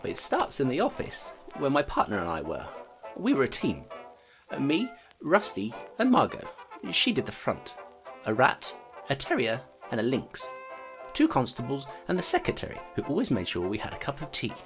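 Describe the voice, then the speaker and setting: A jittery rat constable. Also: male voice, mid-range voice, huge pitch swings, fairly bright tone, faint music behind. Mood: nervous. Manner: nervous, rambling, halting. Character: anxious male rat constable, nervous and chatty. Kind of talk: audio drama acting.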